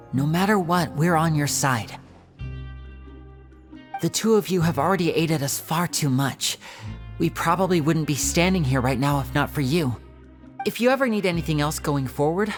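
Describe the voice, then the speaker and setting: Composed, loyal youth for a game: low voice, formal and polite, faint music behind. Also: female voice, expressive. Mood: reassuring. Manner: formal, courteous, measured. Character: earnest, composed, loyal young person, polite and grateful. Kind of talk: video game voice acting.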